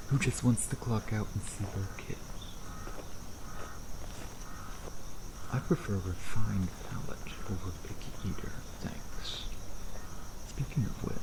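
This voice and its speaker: Man playing a low-voiced teasing vampire, crickets behind. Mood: flirtatious banter. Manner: sardonic, playful banter with pet-name teasing. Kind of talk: ASMR roleplay acting, addressing the listener. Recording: noisy outdoor ambience with crickets.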